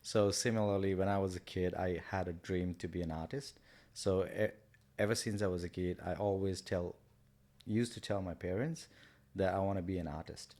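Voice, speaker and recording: A man, a guest in a casual interview, talking in a hesitant, halting way with many pauses. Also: low voice, steady tone, a little mellow. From Nepal. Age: 30s. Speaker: guest, artist and kids entertainer.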